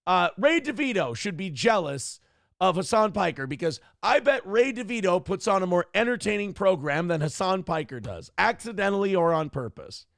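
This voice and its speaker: A man hosts his own livestream in a casual, profane, off-the-cuff way, reading viewer messages aloud. His voice sits a little high, with a gravelly edge, and swings widely up and down. The sound is dry and close. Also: expressive, normal speed, fairly bright tone, dry recording. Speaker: streamer and show host.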